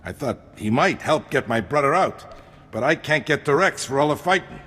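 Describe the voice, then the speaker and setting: An actor playing a weary, streetwise ex-gangster who talks colloquially in a video game cutscene. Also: male voice, medium pitch, expressive, moderate pace, a bit bright, husky. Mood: urgent, grim. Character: weary ex-gangster man, cautious streetwise older brother.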